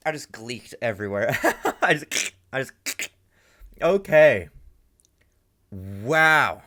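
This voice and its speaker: A man hosting a casual reaction video, talking excitedly, swearing often and thinking aloud with many restarts. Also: medium pitch, slow pace.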